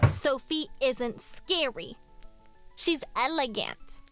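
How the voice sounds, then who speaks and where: A woman's voice: sits high, expressive, slow, a bit bright, no room sound, soft background music, full character voice. A woman voicing a vain, spoiled young girl, snobbish and petulant, in a horror radio drama. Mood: haughty.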